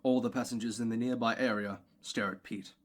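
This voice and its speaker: A man's voice: medium pitch, steady tone, a little fast, lightly acted. A man reading scripted stage directions as the narrator of an audio play.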